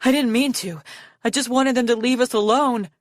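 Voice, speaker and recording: A woman voicing a young male dragon prince, anguished and fierce, who shouts and pleads urgently. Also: medium pitch, a little fast, no room sound, studio quality, pitched above the performer's own voice, lightly acted.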